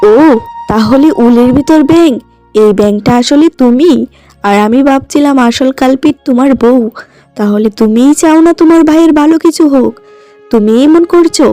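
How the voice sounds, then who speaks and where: A woman's voice: sits high, normal speed, mellow, no room sound, faint music behind, natural voice. A woman voicing a righteous, indignant wife in a cartoon moral story, scolding with sarcasm. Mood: sarcastic, indignant. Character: indignant, righteous elder sister-in-law, devoted wife.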